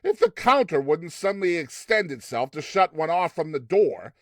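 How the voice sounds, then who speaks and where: Man acting an uneasy, polite father, highly animated. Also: normal speed, no echo, studio quality. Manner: polite, wary, insistent. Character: uneasy, polite father wanting to leave strange shop. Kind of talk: dramatized storybook reading.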